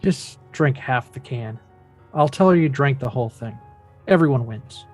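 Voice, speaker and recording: An actor in a script table read playing an earnest grown son, restrained and skeptical, questioning with a pointed edge. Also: male voice, medium pitch, lively intonation, normal speed, a little mellow, soft background music, close to an everyday voice.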